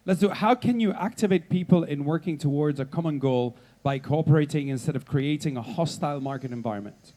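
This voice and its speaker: A man moderating a festival stage interview, asking brisk, playful questions. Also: a little high, mellow.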